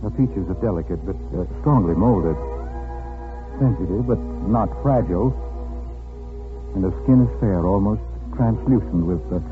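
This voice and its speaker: Guy playing a jittery mild husband in an old radio play, low voice, stammers, tinny sound. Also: moderate pace, pauses often, over music, close to an everyday voice. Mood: hesitant, dreamy. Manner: hesitant, stammering, nervous chuckles. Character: nervous, mild, evasive husband with an imaginary wife. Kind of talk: 1940s radio drama acting.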